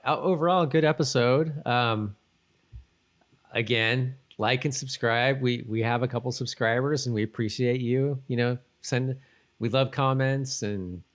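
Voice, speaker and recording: A man co-hosting a podcast in casual chat, talking in a rambling, self-interrupting way. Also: medium pitch. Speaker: podcast co-host. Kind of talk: casual chat reviewing a TV episode.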